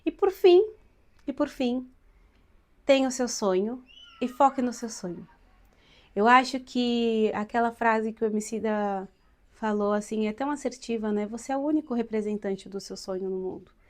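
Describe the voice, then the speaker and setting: Woman, late 20s, São Paulo accent, fairly high voice, chatty, encouraging, vlog. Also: normal speed. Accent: São Paulo Brazilian Portuguese. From São Paulo, Brazil. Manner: chatty and encouraging, addresses viewers directly. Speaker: vlogger and Portuguese teacher. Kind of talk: casual advice vlog.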